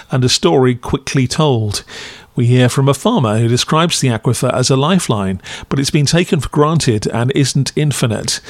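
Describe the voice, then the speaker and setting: A man's voice: medium pitch, no room sound. A man narrating a scripted review as a podcast host, with a wry, critical, dry delivery.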